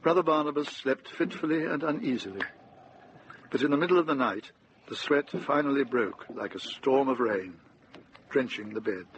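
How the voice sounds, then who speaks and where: Male radio drama narrator, mid-pitched. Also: normal speed. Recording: dull recording with clear noise.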